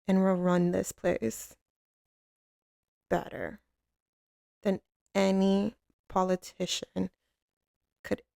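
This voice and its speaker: A woman acting as a commanding female crime boss softening for a sweetheart in an ASMR roleplay. The voice is mellow and full of gravelly fry, delivered slowly and intimately. It sits close on the mic in a very dry space, with swaggering, slangy devotion. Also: mid-range voice, no echo, full character voice. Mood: determined, vowing. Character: tough, commanding female mafia boss, tender and doting with lover. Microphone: close studio microphone, dry.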